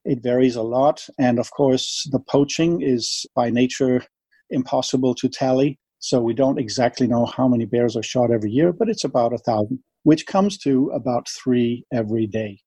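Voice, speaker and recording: A man from Denmark with a Danish accent, speaking English as a second language in an interview, measured and laying out his argument step by step with numbers. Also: mid-range voice, mellow, slightly rough, no echo, rough recording. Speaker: interviewee, wildlife advocate. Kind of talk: earnest explanatory interview talk.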